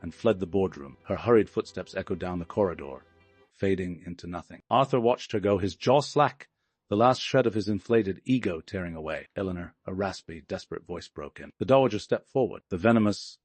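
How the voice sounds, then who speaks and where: Guy narrating a dramatic audiobook, lowish voice, scripted story reading. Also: fairly quick, a little mellow, dry recording, soft background music.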